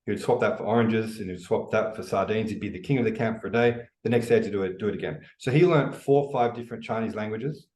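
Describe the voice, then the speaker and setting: Fast-talking Australian man. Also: fairly low pitch. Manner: energetic storytelling, quick with many fillers. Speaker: guest, e-commerce educator. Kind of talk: podcast interview.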